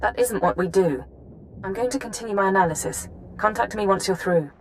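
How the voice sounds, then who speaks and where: A woman playing a brisk, composed intelligence analyst in a video game, speaking precisely and formally. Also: mid-range voice, a little hiss or hum, close to an everyday voice. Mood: composed, serious.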